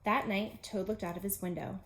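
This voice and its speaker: A woman's voice: mid-range voice, steady tone, a little fast, a bit bright, slight background noise. A woman teacher narrating a children's storybook aloud, with simple phrasing aimed at young children. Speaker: pre-K teacher reading aloud.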